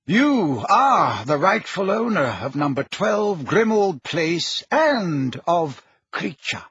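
Male reader as a genial elderly wizard, lively pitch, dull lo-fi recording. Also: husky, full character voice. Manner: measured, courteous, dryly amused. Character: elderly male wizard headmaster, calm and wry.

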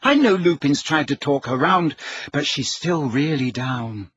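Man as an earnest teenage girl, raised husky pitch. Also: lively intonation, measured pace, fairly bright tone, no room sound, lo-fi sound, exaggerated voice. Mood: earnest, explaining. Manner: insistent, explanatory.

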